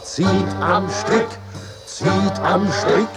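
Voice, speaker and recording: A man's voice: high-pitched, fairly even intonation, very slow, over loud music. An actor playing an earthy, stubborn wine farmer in a radio play, declaiming and savouring his words. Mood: exuberant singing. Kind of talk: theatrical radio play acting with some singing.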